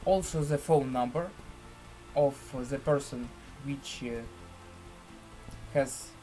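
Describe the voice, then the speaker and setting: Slow-talking man presenting a software tutorial. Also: mid-range voice, rough recording. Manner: halting, deliberate phrasing with pauses. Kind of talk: screen-share software tutorial.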